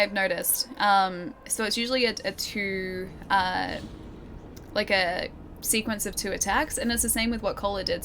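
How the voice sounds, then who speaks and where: A woman streamer chatting and laughing with her viewers as she plays a game, her voice a little croaky. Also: medium pitch, fairly bright tone, slight background noise. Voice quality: voice a bit croaky early in stream. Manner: chatty, giggly, talks to chat while playing. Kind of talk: live gameplay commentary. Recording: game soundtrack playing behind. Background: game music.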